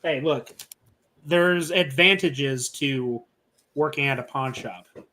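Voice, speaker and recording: A man's voice: mid-range voice, slow, sharp tone, rough recording. A man co-hosting a podcast, chatting casually and teasing his friends. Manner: bantering, teasing. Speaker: podcast co-host. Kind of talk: casual chat.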